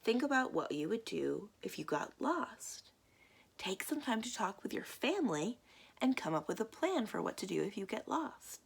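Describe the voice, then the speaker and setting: A woman's voice: mid-range voice, big pitch swings, moderate pace, vocal fry, husky. A woman reading picture books aloud and chatting to young listeners as a children's librarian. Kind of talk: children's picture book read-aloud and chat.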